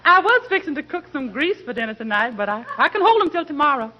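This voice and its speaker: A man voicing a jolly, chuckling American female cook in folksy falsetto for a 1940s radio comedy. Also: big pitch swings, fast, crowd noise. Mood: jolly, amused. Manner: folksy, exuberant, ungrammatical. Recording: muffled, noisy old radio recording with audience.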